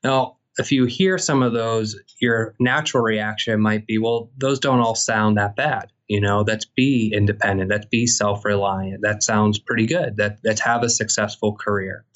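Low-voiced man explaining research, flat delivery. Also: muffled recording. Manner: measured, explanatory, frequent "um". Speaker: psychology professor guest. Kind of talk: podcast interview, explaining research.

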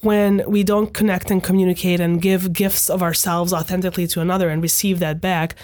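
A woman in her thirties whose first language is Hungarian, a podcast guest speaking in a measured, reflective way and often checking in with "right?". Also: lowish voice, little pitch movement, a little mellow. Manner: thoughtful, measured, frequent "right?" tags. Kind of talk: reflective conversational talk.

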